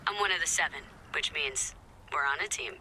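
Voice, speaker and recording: A woman's voice: sits low, a little fast, pushed and bright, a little hiss or hum, poor audio. A woman voicing a flippant, impatient resistance member in a video game, with sarcastic, chatty asides. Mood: breezy, bossy. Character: flippant, impatient female resistance member scheming behind her leader's back. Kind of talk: animated video game voice acting.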